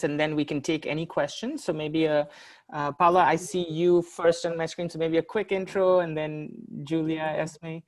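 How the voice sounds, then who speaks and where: Man hosting a video-call webinar. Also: a little high. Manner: polite, facilitating, frequent fillers. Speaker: webinar host.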